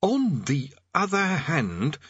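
Man doing a nervous, evasive coward, animated. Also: a little high, husky, no echo, highs cut off, voice pushed up, exaggerated voice. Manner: hurried, babbling, argumentative.

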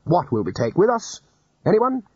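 Bluff elderly wizard chief, voiced by a man, booming, brisk, husky, dry lo-fi sound. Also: wildly swooping pitch, a little mellow, dry recording, heavily acted. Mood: hearty. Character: bluff loud elderly male wizard leader. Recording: dull lo-fi audiobook recording.

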